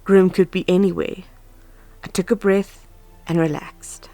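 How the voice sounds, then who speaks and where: A woman's voice: on the low side, flat delivery, a little slow, warm tone, no echo. A woman playing an earnest, anxious but composed young huntress in a fan audio drama. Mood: reflective, uneasy. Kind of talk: fan audio drama, first-person narration and dialogue. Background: background music.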